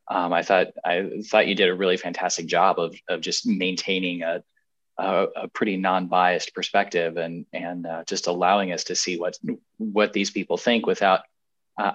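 Deep-voiced male podcast host. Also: lo-fi sound. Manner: measured, repeats words while searching. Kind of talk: casual interview.

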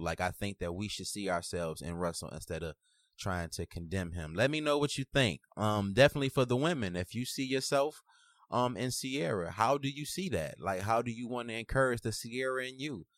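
A man's voice: on the low side, no room sound, studio quality. A man hosting a podcast in casual, slangy conversation, repeating phrases for emphasis. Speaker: podcast host.

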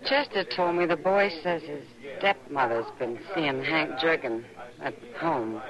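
A woman's voice: low-pitched, a little slow, dull audio, crowd noise. An actress playing a friendly, worldly saloon woman in an old radio western, with an easygoing, familiar manner. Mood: thoughtful. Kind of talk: 1950s radio western acting.

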